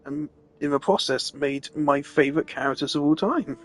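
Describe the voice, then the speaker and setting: A man's voice: on the high side, normal speed. A man giving a casual, opinionated rant on a live stream, emphatic and exasperated in the way he talks.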